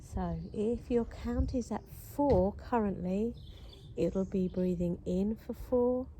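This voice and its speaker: A woman leading a guided breathing practice, counting the breaths calmly and steadily. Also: medium pitch, slight background noise. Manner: calm, slow, counting steadily. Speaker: breathing instructor.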